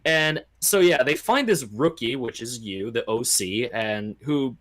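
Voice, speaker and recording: Animated guy in casual chat. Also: medium pitch, unhurried. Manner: animated, rambling storytelling with mimicked quotes. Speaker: podcast guest or panelist. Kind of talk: casual opinionated chat about video games.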